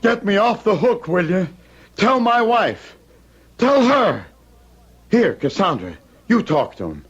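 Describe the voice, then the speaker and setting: An actor playing a blunt, agitated American machinist, a haunted and weary husband, in an old-time radio drama. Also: male voice, sits high, sing-song, slow pace, slightly rough, exaggerated voice. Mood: frantic, insistent. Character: haunted, defensive working-class machinist husband, rattled and weary. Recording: muffled, hissy old radio recording.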